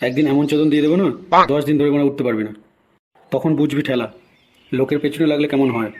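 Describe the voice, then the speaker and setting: A man acts out an irritable, threatening married man from a comedy cartoon. He scolds in a very fast stream, with a slightly high but soft-edged, mellow tone. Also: steady tone, a little hiss or hum, close to an everyday voice. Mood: angry, scolding. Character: hot-tempered married neighbourhood man, foul-mouthed and threatening. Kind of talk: amateur comedy cartoon dialogue.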